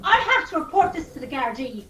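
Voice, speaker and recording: Woman acting a high-pitched, indignant Irish horse owner. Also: big pitch swings, brisk, a bit bright. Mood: accusing. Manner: scolding, demanding. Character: indignant, sharp-tongued woman, owner of a stolen racehorse. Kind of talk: amateur radio play acting. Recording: roomy, distant mic with hiss.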